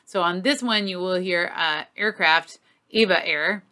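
A woman's voice: on the low side, a little slow, bright, forceful tone. A middle-aged woman giving an informal, emphatic and candid lesson to camera as a flight instructor. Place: small home office, indoors.

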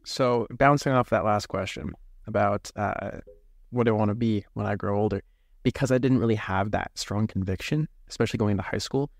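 A man's voice: on the low side, brisk, no echo, clean studio sound, soft background music. A man in his twenties, a seminarian guest, talking reflectively and hesitantly in an interview, often searching for words. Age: 20s.

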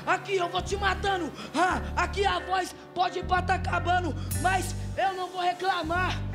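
A hoarse man freestyling in a rap battle, shouting his rhymes into a handheld mic on an outdoor stage. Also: very high voice, level tone, normal speed, over music. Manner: shouted rhythmic rapping over a beat. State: losing his voice. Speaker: battle rapper. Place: outdoor stage under a city viaduct, large crowd. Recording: loud beat through stage speakers.

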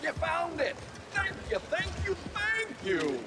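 An actor playing a florid, pompous alien dandy in a video game, speaking in theatrical, old-fashioned flourishes. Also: male voice, extremely high pitch, huge pitch swings, slow, noisy background, exaggerated voice. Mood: effusive, delighted. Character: flamboyant, florid, grandiloquent alien dandy, effusively grateful.

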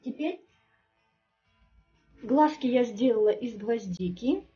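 A woman's voice: on the high side, slow pace, warm tone. A woman walking through a home recipe step by step in a chatty, homely way, recorded at a kitchen table. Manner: homely and chatty, with frequent little fillers. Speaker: home cook. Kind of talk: step-by-step cooking demonstration. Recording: distant, muffled, faint music behind.

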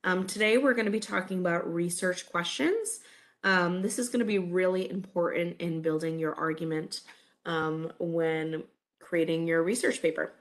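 A woman's voice: fairly low pitch, moderate pace. A woman in her thirties giving a recorded college English lecture over webcam, stuffy from a cold, friendly and full of "um" fillers. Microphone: webcam over slides.

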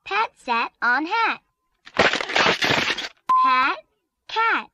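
A very high, childlike voice reads a storybook at a very slow pace. Each simple word is carefully and deliberately shaped, with lively swings in pitch. It sounds like a 1980s read-along cassette with music behind it. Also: muffled recording, very noisy. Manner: exaggerated, deliberate enunciation of single words. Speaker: children's book reader.

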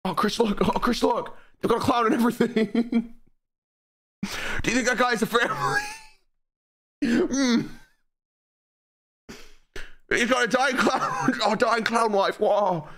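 A man's voice: very high voice, slow, pauses often, a bit bright. A young man streaming a horror game, giggling and making wry comments back at the screen.

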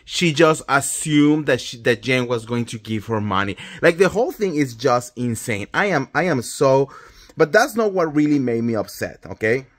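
A man's voice: medium pitch, animated, normal speed. A man in his thirties with a Colombian Spanish accent, ranting emphatically about reality TV into a phone at home. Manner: indignant, emphatic, swears freely. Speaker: pop culture vlogger. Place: indoors at home, small room. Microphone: phone held close at arm's length.

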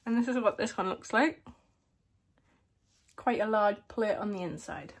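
A woman's voice: mid-range voice, lively intonation, measured pace, creaky, slightly rough. A young woman with a slight lisp, chatting casually to camera in a small room, giggling now and then. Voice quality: slight lisp from a lip plate. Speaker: vlogger.

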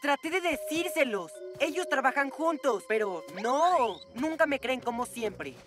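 A woman's voice: high voice, very animated, unhurried, a bit bright, faint music behind, exaggerated voice. A woman with a Latin American Spanish accent voicing an earnest, defensive young armadillo superhero in a cartoon dub. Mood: dejected. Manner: emphatic, protesting.

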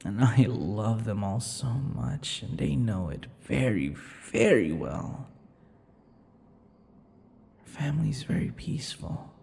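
An obsessive, sadistic male vampire lover for an audio roleplay. The voice is very gravelly and slow, sliding into whispers and swinging wildly in pitch. He sounds vain and possessive, with long reverb around every word. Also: animated, a little mellow, heavily acted. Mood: possessive, proud. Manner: slow, breathy whispers and giggling, drawn-out words. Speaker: roleplay voice actor. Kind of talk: intimate ASMR boyfriend roleplay.

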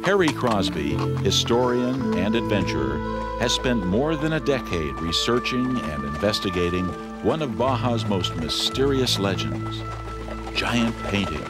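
A man narrates a 1970s documentary in a very deep voice that rises and falls dramatically. Loud music plays under the whole narration. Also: big pitch swings, unhurried.

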